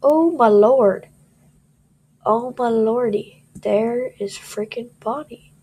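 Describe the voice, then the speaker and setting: A woman's voice: a little high, slow pace, warm tone, breathy edge. A young woman with a scratchy voice doing casual gameplay commentary, jittery and cursing as she talks to viewers.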